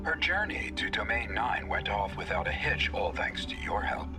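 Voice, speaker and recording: Low, courteous male leader with swooping pitch. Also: sing-song, normal speed. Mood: reassuring. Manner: polite, formal. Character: courteous, reassuring male leader addressing his trusted agent. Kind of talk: anime game voice acting. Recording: background music under the voice.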